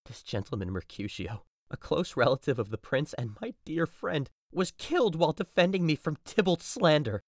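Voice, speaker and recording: A man's voice: a little high, expressive, moderate pace, few pauses, no echo, clean studio sound. An actor playing an impassioned young nobleman, emotional and declamatory, in a modernized Shakespeare audio drama. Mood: grieving. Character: impassioned, grief-stricken young nobleman turned vengeful.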